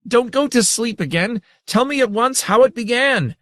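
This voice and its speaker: A man voicing a desperate, excitable male explorer who bleats, pleads and shrills. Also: very high-pitched, moderate pace, no echo, studio quality, voice pushed up. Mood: frantic.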